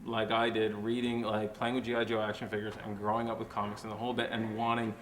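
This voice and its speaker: A man's voice: fairly low pitch, completely flat delivery, fast talker, fairly bright tone, a little hiss or hum. A man talking casually and emphatically as an enthusiast on a comic review panel.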